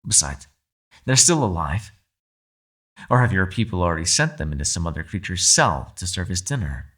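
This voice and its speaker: A man performs a captive fox spirit in an ASMR roleplay, low-pitched and crisply bright. He is sly and mock-polite, taunting with theatrical, widely swooping intonation and quiet menace. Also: big pitch swings, moderate pace, heavily acted. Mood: proud, cool.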